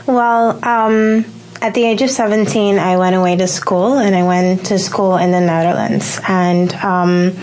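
A woman's voice: mid-range voice, steady tone, a little slow, a little mellow, low-quality recording. A young woman from Curacao telling her testimony in a radio studio, hesitant with many ums. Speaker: guest sharing testimony. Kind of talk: personal testimony in interview.

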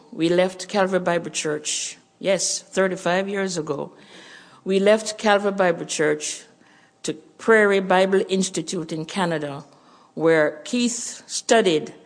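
An older Jamaican woman with a Jamaican accent, a missionary giving an earnest church missions report and testimony. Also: fairly low pitch.

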